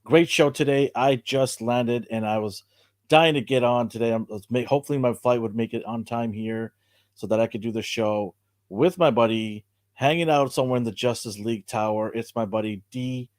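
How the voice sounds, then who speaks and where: Hoarse upbeat man hosting a show. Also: mid-range voice, moderate pace, no room sound, studio quality. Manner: upbeat, chatty, thanks viewers. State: hoarse from cheering. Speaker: podcast host. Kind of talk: casual live-stream chat.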